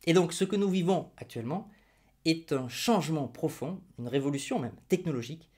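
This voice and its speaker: Brief: male explainer host, slightly high voice, sarcastic edge, teacherly and direct to camera. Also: expressive, a little fast, darkish tone. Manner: ironic and mocking, then patiently didactic; addresses viewers directly with rhetorical questions. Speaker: video host explaining money and crypto.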